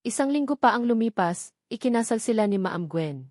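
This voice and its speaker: A woman playing a kindly female household helper in an audiobook, gently explaining, with a Filipino accent. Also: fairly high pitch, expressive, fairly quick, no room sound, studio quality, close to an everyday voice. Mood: sympathetic, explaining. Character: sympathetic older-sister household helper, woman.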